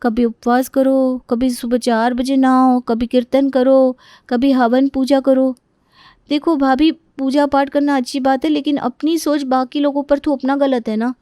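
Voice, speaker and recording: Woman playing a high-voiced, fed-up young daughter-in-law. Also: flat delivery, unhurried, mellow, dry recording, clean studio sound. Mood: grumbling. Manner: grumbling, sarcastic. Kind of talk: acted dialogue in a moral story.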